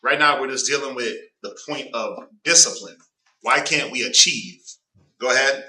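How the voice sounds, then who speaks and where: A man's voice: medium pitch, normal speed, bright, forceful tone. A man with a Southern US accent and a stuffy nose teaching a casual Bible class, prompting a reader and joking with the group. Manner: conversational, prompts reader with "go ahead", jokes. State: stuffy nose, clearing throat. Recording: echoey room, distant mic.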